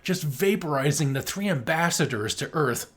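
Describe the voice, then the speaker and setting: Podcast co-host, mid-pitched and very animated, chatting casually and enthusiastically about film. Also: normal speed, husky. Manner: enthusiastic, imitating sound effects.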